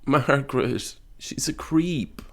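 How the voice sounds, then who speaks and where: A man's voice: mid-range voice, slow pace, a bit bright. A man playing a weary, sardonic neighbour who grumbles uneasily, in a naturalistic audio horror drama. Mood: sardonic, fed up.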